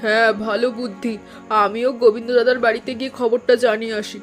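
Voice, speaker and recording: A woman's voice: fairly high pitch, level tone, quick pace, soft background music, close to an everyday voice. Caring village woman voiced by an actress. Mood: concerned. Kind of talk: Bengali animated story dialogue.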